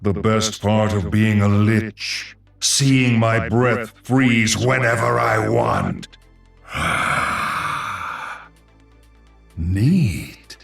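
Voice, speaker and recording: A man's voice: fairly low pitch, drawn-out words, vocal fry, a bit bright, distant mic, faint music behind, exaggerated voice. A man voicing a pompous, sardonic undead archlich sorcerer for a video game, with theatrical menace, dry asides and a hollow echo. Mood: wry, smug. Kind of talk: video game character voice lines.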